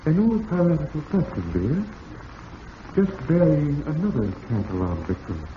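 An actor playing a smooth-talking, falsely cheerful husband with murder in mind, in old-time radio drama style. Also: male voice, fairly high pitch, big pitch swings, unhurried. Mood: coldly menacing. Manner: suave, falsely cheerful, darkly ironic. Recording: dull, noisy vintage radio recording.

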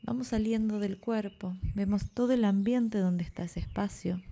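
A woman guides a relaxation meditation as a yoga instructor, soft and breathy at phrase ends, giving soothing, unhurried instructions with long pauses. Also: medium pitch.

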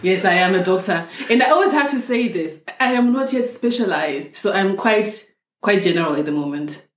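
A woman's voice: mid-range voice, animated, normal speed. A young woman from Zimbabwe, a doctor, talking thoughtfully and at length as an interview guest. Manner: thoughtful, explains at length with restarts. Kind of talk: casual interview conversation. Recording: echoey room.

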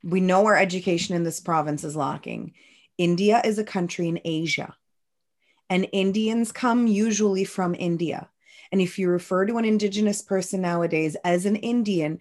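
A woman hosting a podcast, interviewing her guest carefully and gently, and often stopping to clarify points. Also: fairly low pitch. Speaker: podcast host.

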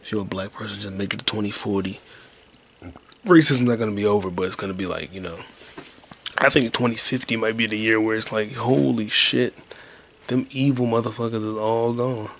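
A man in his twenties rambling alone on his podcast in a detached, low-energy, half-whispered way. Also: a little fast, fairly bright tone, a little hiss or hum. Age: 20s. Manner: low-key, detached, mumbling. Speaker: podcast host. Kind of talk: rambling solo podcast monologue.

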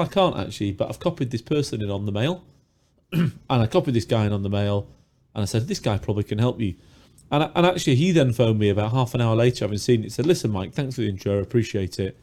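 A man co-hosting a podcast, chatty and jokey, a little hoarse from a sore throat. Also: medium pitch, animated, fast, a little mellow. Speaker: podcast co-host. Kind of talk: casual chat. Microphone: studio mic with pop filter.

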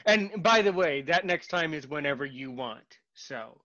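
A man hosting a podcast interview in casual chat, halting and repeating his words, with a sarcastic streak. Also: a little high, lively intonation, bright, forceful tone, lo-fi sound. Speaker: podcast host.

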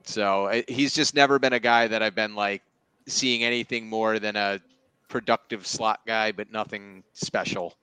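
A man's voice: lowish voice, fairly even intonation, normal speed, bright, forceful tone, smooth, no echo, rough recording. A man giving casual fantasy football analysis as part of a panel. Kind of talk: casual sports debate.

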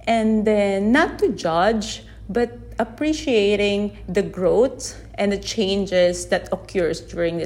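A woman from the Philippines whose first language is Tagalog, talking unscripted into a phone held in one hand on her podcast, gently and with many restarts as she searches for words. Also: mid-range voice. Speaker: podcast host. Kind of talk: unscripted reflective podcast monologue. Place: unedited setting with background sound.

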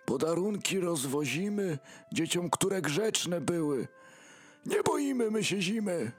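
A jolly old Santa Claus with a husky, creaking voice. He hoots and declaims his rhymes very slowly for a radio play, swinging through the pitches over music. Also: sing-song, exaggerated voice. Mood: jolly, booming. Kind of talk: rhymed audio drama acting. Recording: music behind the voice.

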